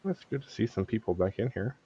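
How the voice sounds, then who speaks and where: Dull, lo-fi stream audio carries a male game streamer with a low, very husky voice. He comments casually as he plays. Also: fast talker, mellow. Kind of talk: casual live gameplay commentary.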